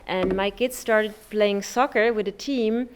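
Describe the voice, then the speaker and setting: A woman's voice: mid-range voice, a little slow, slight background noise. A middle-aged woman with a Czech accent speaking informally to a group, searching for words as she goes. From Czech Republic. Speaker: parent community service representative.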